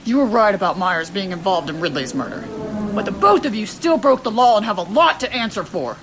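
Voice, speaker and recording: A woman's voice: mid-range voice, lively intonation, brisk, crowd noise, natural voice. A woman acting a stern, hot-tempered senior judge officer in an audio drama.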